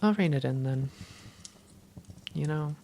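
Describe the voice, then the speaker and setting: A woman acts out an affectionate vampire girlfriend with a low voice full of gravelly fry. She talks intimately and teasingly, a little halting and unsure of herself, as in a cozy roleplay audio. There is faint noise behind her. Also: dark tone, exaggerated voice. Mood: hesitant, vulnerable. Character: affectionate, self-doubting vampire girlfriend, playful and tender. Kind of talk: cozy roleplay audio, one-sided conversation.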